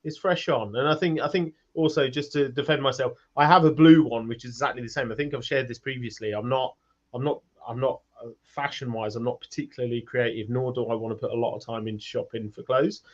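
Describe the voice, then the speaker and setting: A man hosts a casual online community chat over slightly dull, lo-fi call audio. His pitch sits in the middle, and he rambles along in a self-deprecating way, repeating short phrases as he goes. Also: fairly even intonation, fast talker. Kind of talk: casual chat, reading out audience questions.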